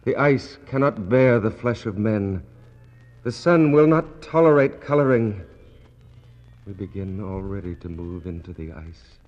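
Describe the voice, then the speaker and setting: An actor playing a doomed, starving polar expedition officer in a 1960s radio drama, despairing yet resolute, with slow, halting, incantatory delivery and a gravelly voice. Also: male voice, lowish voice, expressive. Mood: desperate resolve. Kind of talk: 1960s radio drama acting, poetic monologue. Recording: muffled, noisy 1960s radio recording.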